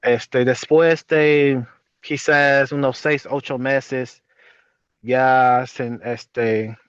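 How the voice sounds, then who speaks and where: A man from Chicago with an American accent answering casually as an interview guest in learned Spanish, halting and correcting himself. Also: mid-range voice, fairly even intonation, clean tone, no echo, muffled recording. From Chicago, United States. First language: English. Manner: halting, self-correcting second-language speech.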